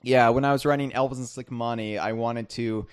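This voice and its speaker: A man's voice: mid-range voice, fairly even intonation, brisk, smooth. A man hosting a podcast, chatting casually in a rambling, self-deprecating, joking way. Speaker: podcast host.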